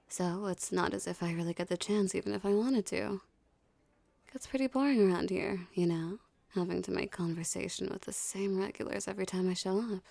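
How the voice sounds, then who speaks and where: A woman's voice: medium pitch, mellow, no room sound. A woman playing a dominant, teasing mafia boss in an ASMR roleplay, speaking with cool, condescending flirtation. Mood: flirtatious, amused. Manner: smooth menacing flirtation, condescending, unhurried. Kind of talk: ASMR roleplay, scripted character acting.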